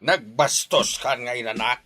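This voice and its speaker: Forceful, angry Filipino father. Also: mid-range voice, measured pace. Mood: furious. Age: middle-aged. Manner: forceful, scolding. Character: angry, authoritarian father berating his ungrateful son. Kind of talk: Ilocano radio drama acting.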